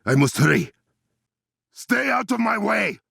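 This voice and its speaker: An actor playing a regal, commanding warrior king in a video game, with an African accent, measured formal diction and a gravelly, husky voice. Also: high voice, very animated, bright, forceful tone, no echo, studio quality, heavily acted. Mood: urgent, shouting. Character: regal, commanding warrior king, stern and proud, adult man.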